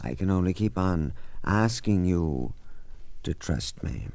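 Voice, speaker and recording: A gentle, anxious old gentleman in classic 1940s radio detective style. His voice is gravelly and close, with very lively pitch and an earnest, theatrical, courtly manner. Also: takes it slowly, no echo, full character voice. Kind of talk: 1940s American radio detective drama acting.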